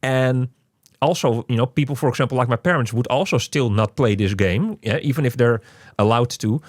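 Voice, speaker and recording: A man hosting a casual game review, speaking haltingly and often repeating his words. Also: medium pitch, dry recording, studio quality. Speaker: podcast host reviewing a video game.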